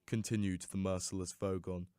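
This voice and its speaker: A man giving a theatrical, expressive scripted reading of a story as an audiobook narrator. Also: sits low, normal speed, clean tone, no room sound, clean studio sound.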